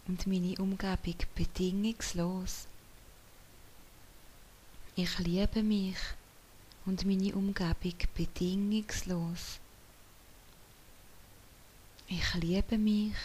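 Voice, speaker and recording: A woman whispering softly as she leads a guided meditation in a slow, soothing way. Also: on the low side, a little hiss or hum, rough recording. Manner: soft whispering.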